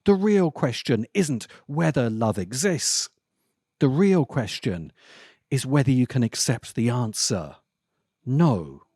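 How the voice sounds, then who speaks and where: Slow, deliberate male essay narrator, animated pitch. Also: slightly rough, dry recording, clean studio sound. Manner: deliberate, dramatic pauses and emphasis. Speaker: host of a film commentary channel. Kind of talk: scripted video essay narration.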